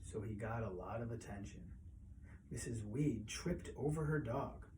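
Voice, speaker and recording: A man reading a children's picture book aloud as a storyteller, expressively, with pauses and emphasis. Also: medium pitch, darkish tone, a little hiss or hum, rough recording.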